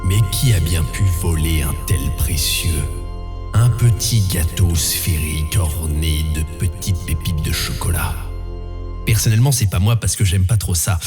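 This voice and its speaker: A man's voice: deep voice, a little slow, over music. A man narrating tongue-in-cheek, slipping into rambling, irreverent casual asides.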